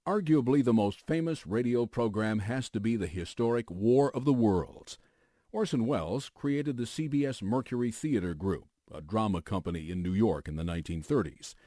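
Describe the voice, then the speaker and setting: Lowish, lively American documentary narrator, male. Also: moderate pace, no echo, studio quality. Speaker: documentary host, news anchor. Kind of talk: scripted radio documentary narration.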